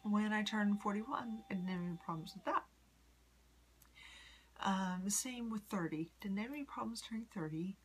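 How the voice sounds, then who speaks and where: A woman in her forties from the United States, rambling in a personal vlog with many ums and soft whispery asides. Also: medium pitch, moderate pace, slightly rough. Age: 40s. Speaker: vlogger. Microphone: phone.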